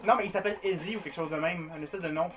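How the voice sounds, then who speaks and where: A man's voice: high voice, racing. Joking Quebec French man over game music. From Canada. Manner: animated, profane, rambling with restarts. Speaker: co-host of a game livestream. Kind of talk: casual joking chat while playing.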